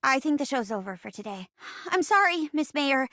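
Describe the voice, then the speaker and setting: A high-voiced young actress chair come to life for a video game. She is earnest and casual, with a very animated, swooping delivery. The sound is close and dry. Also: no echo. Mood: apologetic, uneasy. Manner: animated, earnest, casual, halting when uncomfortable. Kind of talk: video game character dialogue.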